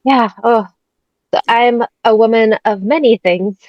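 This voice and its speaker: A woman's voice: on the high side, measured pace, dry recording. Chatty woman, candid storyteller. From United States. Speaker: guest. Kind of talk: personal story in an interview. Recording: dull, dry sound.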